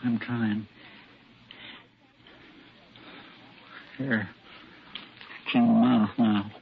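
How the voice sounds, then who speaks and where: Folksy, anxious deputy on noisy old radio. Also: male voice, fairly low pitch, level tone, slow pace, vocal fry. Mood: hesitant, strained. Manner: folksy, earnest, nervous, deferential. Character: loyal, anxious, folksy male deputy sidekick in the Old West. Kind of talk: old-time radio western acting. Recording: dull, hissy vintage radio recording.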